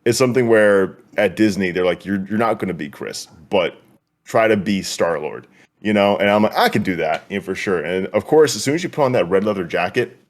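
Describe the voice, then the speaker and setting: A man's voice: lowish voice, fairly quick. A young man talking casually as a guest, easygoing and chatty. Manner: easygoing, chatty with light laughs. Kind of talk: casual chat.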